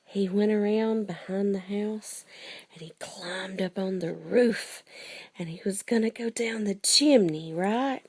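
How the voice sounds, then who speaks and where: A woman's voice: medium pitch, measured pace, darkish tone, husky. A woman telling bedtime stories to a child in a playful, chatty way, often prompting with questions. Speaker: storyteller to a child. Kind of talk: bedtime storytelling with casual chat.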